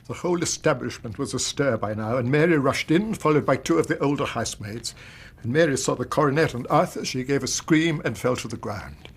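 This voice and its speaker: Middle-aged Victorian banker, English accent, gravelly, husky, formal, agitated father, radio play. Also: medium pitch, fairly quick. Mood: grave recounting. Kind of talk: radio drama acting.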